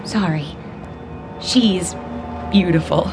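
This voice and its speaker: A woman's voice: lowish voice, takes it slowly, music in the background. An actress playing an eager, determined married woman in a radio drama. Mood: excited.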